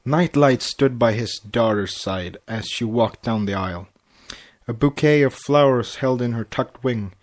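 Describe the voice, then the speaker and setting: A man with a mid-pitched voice reads fiction aloud. He narrates in a storybook style, as a podcast host sharing a tale. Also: measured pace. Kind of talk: storybook narration with acted dialogue.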